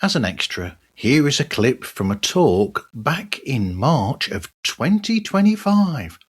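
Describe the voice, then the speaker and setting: A man's voice: medium pitch, very animated, slow pace. A man hosting a podcast, introducing segments and chatting. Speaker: podcast host.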